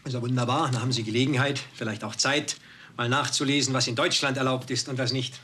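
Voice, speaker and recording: An actor playing a coolly condescending police superior who speaks in a dry, cutting way. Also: male voice, medium pitch, fairly even intonation, fast talker, pushed and bright, slight background noise, close to an everyday voice. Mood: sarcastic.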